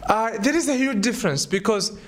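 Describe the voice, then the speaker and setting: A man in his twenties, an English teacher answering students' questions in class, lively and repeating words as he thinks. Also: sits high, brisk.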